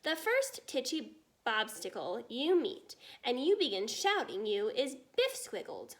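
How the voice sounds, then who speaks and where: A woman voicing a gentle, friendly storybook giant who speaks in muddled grammar and invented words. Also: on the high side, wildly swooping pitch, a little slow. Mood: calm, chiding.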